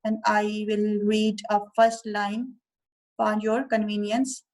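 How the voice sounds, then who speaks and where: Flat-toned Urdu-speaking woman explaining software. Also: medium pitch. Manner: slow, careful step-by-step explaining. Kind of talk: screen-recorded software tutorial.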